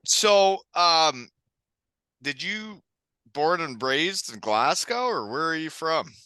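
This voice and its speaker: A man's voice: on the high side, big pitch swings, takes it slowly, sharp tone, clean tone, dry recording. A middle-aged man hosting a podcast as a former hockey player, talking casually, candidly and in a rambling way. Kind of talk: casual conversational podcast chat.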